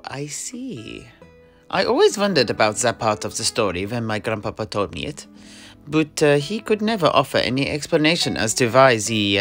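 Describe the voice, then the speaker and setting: A man playing a mercenary knight, telling a sea adventure in a halting, theatrical way for a fantasy audio play. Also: mid-range voice, fairly bright tone. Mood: thoughtful.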